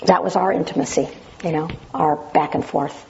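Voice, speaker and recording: A woman with a naturally loud voice reading a passage dramatically with up-and-down intonation, then sharing her memories in a reflective way. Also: on the low side, steady tone, moderate pace, gravelly, muffled recording, slight background noise. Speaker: Zen practitioner sharing memories of a teacher.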